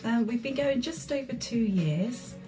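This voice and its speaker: A woman's voice: a little high, animated, a little slow, dark tone, faint music behind. A woman, a guest on a radio show, explaining her chorus enthusiastically and fluently. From England. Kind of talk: radio interview. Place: community radio studio.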